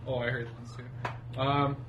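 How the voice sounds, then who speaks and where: A man's voice: medium pitch, little pitch movement, a little slow, a bit bright. A man playing a tabletop game, hesitant and prone to giggling. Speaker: player. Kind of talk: casual tabletop game chat. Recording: distant mic with faint noise.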